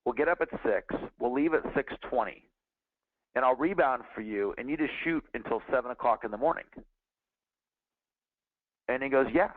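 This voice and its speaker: A man's voice: mid-range voice, no echo. Earnest man on a tinny phone line. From California. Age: 40s. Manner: earnest, conversational, repeats words and restarts. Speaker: sales trainer. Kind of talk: motivational teaching call.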